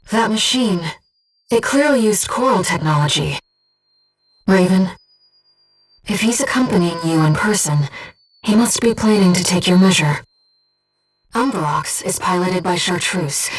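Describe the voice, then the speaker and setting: A woman voicing a young, gentle, bodiless female spirit in a video game, soft-spoken and precise as she guides a mercenary through missions. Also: on the low side, recorded from a distance, rough recording. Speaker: mission operator companion. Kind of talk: video game voice acting, tactical radio guidance.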